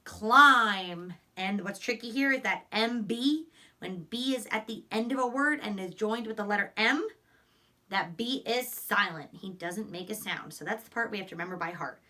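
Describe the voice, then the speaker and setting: A woman teacher giving a phonics lesson and read-aloud to young children, encouraging, enunciating deliberately and repeating words, picked up by a distant microphone. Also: medium pitch, pushed and bright. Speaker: elementary school teacher.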